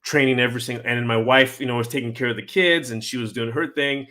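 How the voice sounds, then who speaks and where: A man hosting an interview, talking casually in a rambling, halting way with many restarts. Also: medium pitch, fairly even intonation, bright, forceful tone. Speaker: podcast host.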